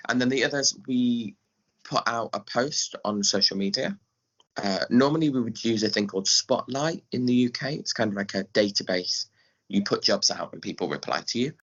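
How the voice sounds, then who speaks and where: Bright-voiced English man, deepish, slightly dull audio. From England. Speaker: guest, pantomime director and scriptwriter. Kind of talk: casual interview chat.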